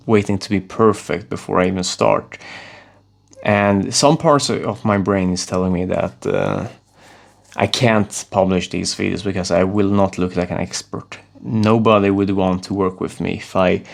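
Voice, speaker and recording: A Swedish man in his thirties speaking English as a second language, coaching viewers to camera in an earnest, halting way with frequent restarts. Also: on the low side, vocal fry. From Sweden. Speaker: self-improvement vlogger.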